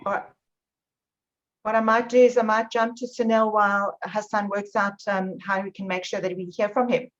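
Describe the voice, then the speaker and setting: A woman hosting a video meeting, calling on people in an upbeat, brisk way. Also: mid-range voice, flat delivery. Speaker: meeting host. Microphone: video call. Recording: dull lo-fi call audio.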